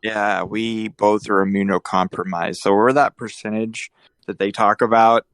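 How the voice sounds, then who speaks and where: Joking low-voiced man hosting a podcast. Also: steady tone, normal speed, fairly bright tone, clear, resonant tone, no room sound. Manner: rambling, joking, frequent restarts. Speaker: podcast host. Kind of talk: casual podcast chat.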